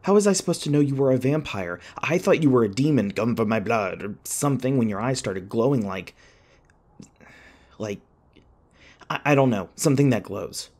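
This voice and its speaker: A man playing an anxious, sarcastic young college student in an audio roleplay, rambling and halting in a wry, flustered way. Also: mid-range voice, lively intonation, a little mellow, close to an everyday voice. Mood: freaked out. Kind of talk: audio roleplay acting, one-sided dialogue.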